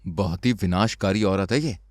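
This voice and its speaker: A man's voice: sits low, normal speed, no room sound, studio quality, voice pushed down, natural voice. A man playing a greedy, exasperated poor village husband in a cartoon story, sarcastic and grumbling. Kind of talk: Hindi cartoon story dubbing.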